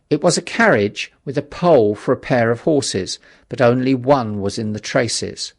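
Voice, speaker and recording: A man reading a literary story as an audiobook narrator, with a dramatic, measured delivery. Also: medium pitch.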